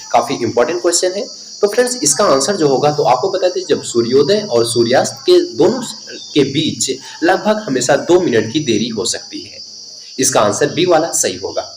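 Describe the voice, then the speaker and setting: A man teaching an exam quiz lesson, reading out questions and explaining answers in a sing-song way. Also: fairly high pitch. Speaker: science teacher for exam preparation. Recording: echoey room, steady chirping insect-like noise.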